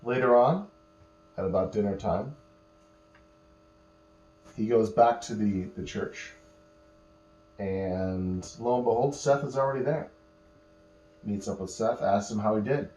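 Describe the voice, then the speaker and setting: A man telling an improvised bedtime story to children, patiently explaining words and pausing mid-phrase as he goes. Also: on the low side, mellow. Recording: roomy echo, faint electrical hum.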